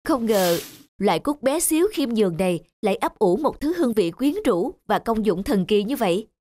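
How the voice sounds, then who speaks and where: Curious, expressive cartoon tea guest. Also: male voice, high-pitched, moderate pace, dry recording, clean studio sound. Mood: admiring. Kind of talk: children's cartoon acting. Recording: loud children's music behind.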